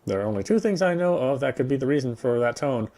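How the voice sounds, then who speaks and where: A man's voice: medium pitch, expressive, fast, warm tone. A man reading visual novel narration aloud, sometimes stumbling and repeating phrases. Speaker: playthrough reader.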